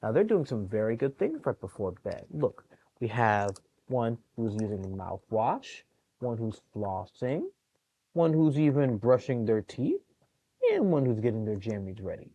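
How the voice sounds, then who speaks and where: Animated man reading bedtime stories to children. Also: mid-range voice, measured pace, no echo, rough recording. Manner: animated, encouraging, explains pictures to young listeners. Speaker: children's storytime reader at a public library. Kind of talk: expressive picture book read-aloud with chatty asides to young children.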